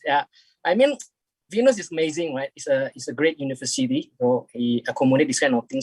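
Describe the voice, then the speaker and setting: Guy on a video call, halting, lots of restarts and "right?", dry close sound. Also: medium pitch, no echo, low-quality recording. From Indonesia. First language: Indonesian. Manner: halting, many restarts, keeps adding "right?". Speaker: audience member asking the guest questions. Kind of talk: casual question in an online talk.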